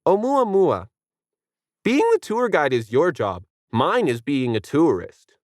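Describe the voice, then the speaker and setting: Annoying millennial know-it-all for a story, male, pitched well up, pestering and smug a narrator acts him out. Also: very animated, takes it slowly, dry recording, studio quality. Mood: smug, loud. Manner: badgering, demanding. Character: annoying, bratty young foreign-sounding male tourist, pedantic and smug.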